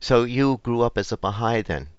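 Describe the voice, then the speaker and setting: A man hosting a community radio interview show, reading a measured, scripted introduction before questioning his guest. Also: medium pitch, lively intonation, dry recording, dull audio.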